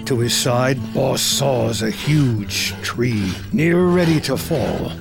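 A man's voice: medium pitch, expressive, slow pace, gravelly, music in the background. A man with an American accent reading scripted screenplay narration in the present tense.

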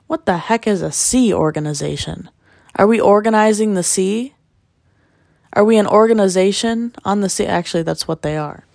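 A woman hosting a casual solo podcast while sick, with a raspy voice, rambling and joking at her own expense. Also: mid-range voice, normal speed, a little mellow, dry recording, studio quality. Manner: rambling and self-deprecating with jokes. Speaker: podcast host; music producer.

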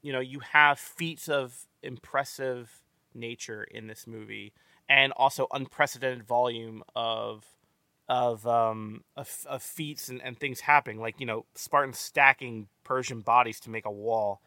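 A man's voice: medium pitch, steady tone, measured pace, bright, forceful tone, no room sound, studio quality. A man hosting a casual movie-review podcast, rambling with many stammered restarts and free swearing. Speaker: podcast host.

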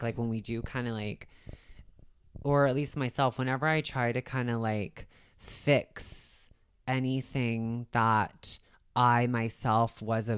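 A woman's voice: rumbling low, clean tone. A woman guest in a casual interview, talking informally with lots of filler words and the odd laugh.

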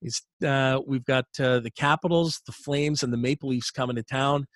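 A man's voice: medium pitch, fairly even intonation, moderate pace, fairly bright tone, no echo, studio quality. A middle-aged man, an arena announcer telling his career story as an interview guest in an upbeat, chatty way, often repeating words as he restarts. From Canada.

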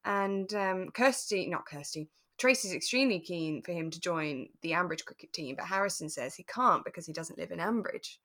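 Low-pitched woman, chatting. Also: expressive, moderate pace, hardly any pauses, fairly bright tone. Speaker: podcast co-host. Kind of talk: relaxed casual chat reviewing a radio drama.

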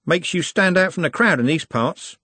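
A man's voice: on the high side, lively intonation, normal speed, bright, forceful tone, breathy edge, no echo, voice pushed up, exaggerated voice. A man voicing a brash, cocky young working-class lad in an audiobook, slangy, blunt and mocking. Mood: bossy. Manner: slangy, blunt, matey banter.

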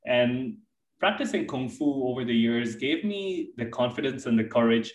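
A man's voice: medium pitch, animated, moderate pace. A man hosting an interview podcast, speaking with enthusiasm in long, winding questions. His first language is Hindi. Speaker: podcast host. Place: Dubai. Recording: roomy echo, distant from mic.

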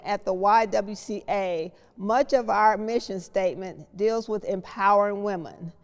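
A woman leads a book discussion as host. Her voice is clean and resonant, and she explains things in a measured, deliberate way. The recording carries a long, hall-like reverb. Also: mid-range voice.

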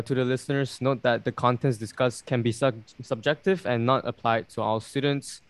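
Brief: young male podcast host, easygoing and giggly, mid-pitched, dry close sound. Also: darkish tone, dry recording. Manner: chatty, laughs often, many "like" fillers. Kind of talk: casual podcast chat.

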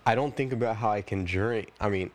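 A man's voice: fairly low pitch, a little fast. A man in his twenties talking casually as the co-host of a podcast. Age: 20s. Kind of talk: casual chat.

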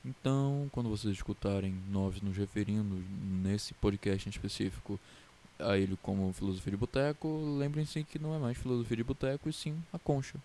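A man's voice: lowish voice, fairly even intonation, brisk, warm tone, a little hiss or hum. A twenty-year-old Brazilian man hosting a podcast as a casual chat with friends, slangy and foul-mouthed. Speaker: podcast host.

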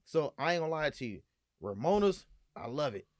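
A man chatting casually as a podcast guest, slangy and animated, repeating phrases for emphasis. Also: on the high side, fairly quick. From Gardena, California. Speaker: podcast guest, restaurant owner. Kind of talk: casual chat, storytelling.

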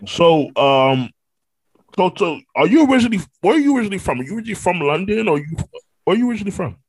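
A man's voice: sits high, sing-song, very fast, husky. A man from Brooklyn, New York, hosting a podcast, asking questions in a friendly, rambling, casual way. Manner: friendly, rambling, many restarts. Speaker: podcast host. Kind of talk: casual interview chat.

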